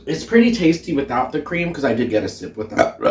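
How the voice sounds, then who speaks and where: A man's voice: medium pitch, very animated, moderate pace, distant mic. Giggly, swearing man in a roomy kitchen. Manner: bubbly, giggly, swears often, puts on a mock Irish lilt. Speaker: drag queen cooking vlog host. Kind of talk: casual cooking vlog chat. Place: home kitchen, indoors. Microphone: camera mic from a few feet away. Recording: roomy kitchen echo, off-mic.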